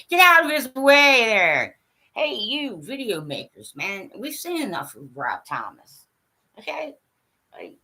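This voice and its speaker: A woman's voice: mid-range voice, bright, forceful tone. A woman talking straight to her viewers in a scattered, blunt livestream monologue, swearing and laughing as she goes. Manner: addresses the audience directly, asks for agreement. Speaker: livestream host.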